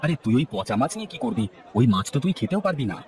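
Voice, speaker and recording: A man voicing a blunt but kindly fishmonger in a cartoon, with a gruff, familiar manner. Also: medium pitch, sing-song, racing, a little mellow, some chatter behind, close to an everyday voice. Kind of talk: cartoon voice acting. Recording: music bed behind.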